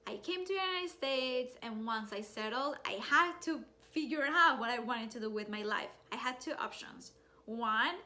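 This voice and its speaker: Slow, bright-voiced Colombian woman. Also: medium pitch. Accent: Colombian Spanish. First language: Spanish. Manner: upbeat, chatty, addresses viewers directly. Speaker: digital marketing business owner. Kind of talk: personal story vlog to camera.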